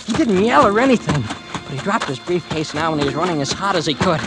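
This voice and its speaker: A man's voice: on the high side, big pitch swings, a little fast. An actor in old-time radio drama playing a polite, troubled young male murderer, speaking in a hesitant, earnest way. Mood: agitated, breathless urgency. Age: young adult. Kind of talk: 1940s-50s radio drama acting and first-person narration. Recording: old radio recording with music. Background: dramatic music.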